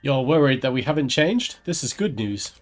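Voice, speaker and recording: A man's voice: mid-range voice, very animated, normal speed, soft background music, close to an everyday voice. A man voicing a curious male adventurer, reading video game dialogue aloud in a conversational, questioning way. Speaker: gamer voicing his player character.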